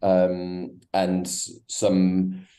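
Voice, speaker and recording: A man's voice: sits low, completely flat delivery, very slow, dark tone. A man being interviewed over a remote call, talking with many restarts and hesitations. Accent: foreign-sounding accent, South African company. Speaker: guest, company co-founder.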